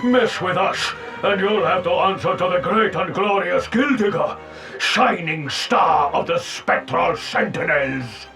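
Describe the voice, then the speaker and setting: An actor voicing a gloating, bullying monster henchman in a video game, sneering theatrical insults in a gravelly voice. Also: sits high, a little slow, pushed and bright, faint music behind, heavily acted. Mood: sneering menace. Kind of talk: video game villain acting.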